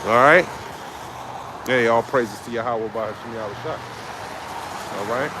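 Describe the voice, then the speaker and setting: A man's voice: mid-range voice, a little slow, background noise. A man preaching on a live stream, reading scripture aloud and expounding it in a confrontational, profane way. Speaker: street preacher.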